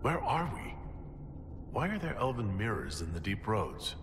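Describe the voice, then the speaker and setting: Commanding game hero, lowish pitch with lively inflection, composed and questioning, cavernous reverb. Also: male voice, measured pace, noisy background. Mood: probing. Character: inquisitive, commanding male fantasy hero leading an expedition. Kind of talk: dramatic video game dialogue. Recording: long cavern reverb, very loud game ambience.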